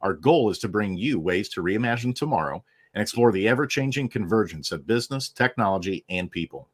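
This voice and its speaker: A man's voice: on the low side, expressive, brisk, husky. A man co-hosting a podcast, reading a confident intro and then chatting casually. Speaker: podcast co-host.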